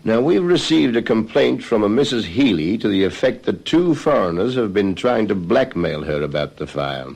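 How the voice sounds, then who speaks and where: Deep-voiced male detective, vintage radio crime drama. Formal, methodical, probing interrogation style. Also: very animated, creaky, a little mellow, heavily acted. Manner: formal, measured questioning. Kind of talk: old-fashioned radio drama acting.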